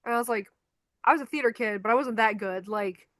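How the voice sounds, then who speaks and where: A woman's voice: fairly high pitch, fast, no room sound, clean studio sound. A young woman with a Chicago accent, a podcast co-host telling stories in casual chat and acting out the quotes. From Chicago, United States. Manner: animated storyteller acting out quotes. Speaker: podcast co-host, concert photographer.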